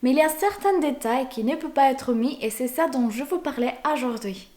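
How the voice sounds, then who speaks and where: A woman's voice: high voice, expressive, a little fast, dark tone. A young Mexican woman, a native Spanish speaker with a Mexican accent, chatting informally to viewers as a vlog host. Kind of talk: casual talk to viewers.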